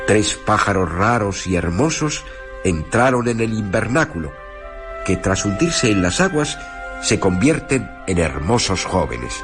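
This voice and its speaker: A man with a fairly low voice and a Castilian accent narrates a scripted radio tale. He moves in deliberate, short phrases. Music plays clearly behind him. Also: very animated. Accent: Castilian Spanish. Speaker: narrator and presenter. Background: prominent background music.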